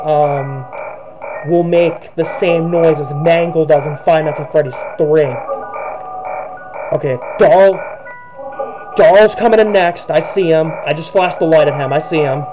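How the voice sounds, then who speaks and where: A man's voice: on the high side, measured pace. A young man giving nervous, rambling gameplay commentary with many restarts, his voice cracking. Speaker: gamer. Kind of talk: let's play commentary. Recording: dull lo-fi sound with game music.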